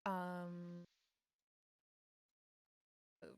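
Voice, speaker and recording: A woman's voice: fairly low pitch, monotone, very slow, clear, resonant tone, no echo. A woman chatting casually as a player in an online tabletop roleplaying game, describing her character's actions. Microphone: online voice call.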